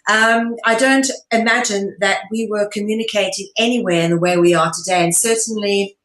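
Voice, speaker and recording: A woman's voice: mid-range voice, steady tone, normal speed, a bit bright. A woman being interviewed, explaining her ideas at length in an earnest, emphatic, lecturing way. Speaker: interview guest, online show presenter. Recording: echoey room.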